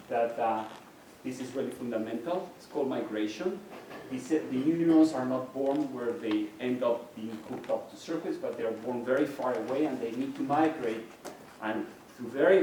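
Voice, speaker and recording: A man's voice: medium pitch, dark tone, slight background noise. Man lecturing in a very echoey hall. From Mexico. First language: Spanish. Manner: explanatory, with frequent restarts and fillers. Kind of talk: university lecture. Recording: very echoey hall, distant mic.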